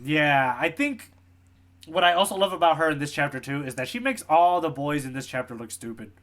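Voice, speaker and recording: A man's voice: fairly high pitch, brisk, fairly bright tone. A man co-hosting a podcast in casual conversation, talking in a playful, storytelling way. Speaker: podcast co-host, tour guide. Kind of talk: casual chat, manga readalong podcast.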